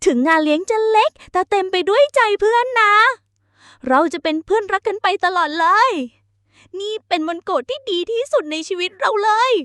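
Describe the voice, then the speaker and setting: A woman plays a tiny cartoon ant with a very high, cute voice. The little creature is cheerful, eager and sweet, made for a children's storybook tale, recorded close and dry. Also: animated, brisk, fairly bright tone, no echo. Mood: excited, touched. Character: cheerful little ant friends planning a surprise birthday party. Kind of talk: children's animated storybook character acting.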